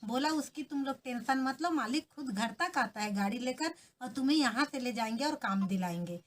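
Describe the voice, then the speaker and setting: High-voiced woman recounting hardship. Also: expressive, a little fast. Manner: plain, earnest storytelling with short restarts. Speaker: interviewee, rescued migrant worker. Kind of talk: recounting personal experience in an interview.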